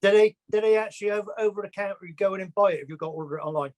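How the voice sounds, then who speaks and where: A man's voice: high-pitched, rapid-fire. A man asking casual questions in a direct way, often repeating his words.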